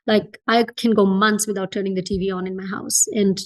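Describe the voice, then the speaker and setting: A woman's voice: mid-range voice, flat delivery, a little fast. A woman from Fiji speaking as an interview guest in a reflective, conversational way, earnest and emphatic, repeating phrases for emphasis. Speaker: entrepreneur being interviewed as a guest.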